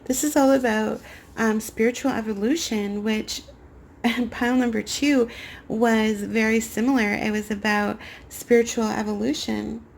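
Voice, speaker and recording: A young woman giving a rambling spiritual tarot reading to camera, her voice raspy as she gets over a cold. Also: a little high, steady tone, unhurried, slight background noise. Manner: soft, meandering spiritual talk with many fillers. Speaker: tarot card reader.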